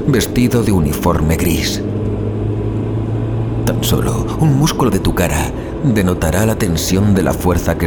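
An actor playing a terrified, fatalistic man in a horror audio drama, speaking in anguished sighs and dramatic pauses. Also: low voice, very noisy. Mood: trembling, morbid dread. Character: terrified, fatalistic male car passenger awaiting his death. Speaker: narrator of audio fiction. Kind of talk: dramatised first-person horror monologue.

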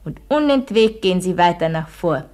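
A woman's voice: lowish voice, lively intonation, normal speed, darkish tone, lightly acted. A woman playing an Austrian village schoolteacher in a radio play, quizzing her pupils and telling them a heroic tale with didactic emphasis. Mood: proud, solemn. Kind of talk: radio drama, classroom storytelling.

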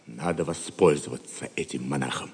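An actor playing a cool, scheming knight who advises a baron in an audio drama, hushed and calculating. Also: male voice, very deep voice, normal speed, gravelly, breathy edge, in a large hall, full character voice.

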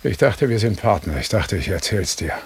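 An older police officer in a German radio drama. His low voice is gravelly and husky. He talks like a loyal, blunt old partner, wry and conversational, with animated swings in pitch. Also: normal speed, slight background noise. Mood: confiding. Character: older male Los Angeles cop, loyal, bluntly honest partner.

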